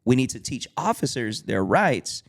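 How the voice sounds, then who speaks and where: A man's voice: mid-range voice, big pitch swings, normal speed, creaky. A man hosting a podcast, enthusiastic and chatty, with many ums and restarts. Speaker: podcast host. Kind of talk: casual chat.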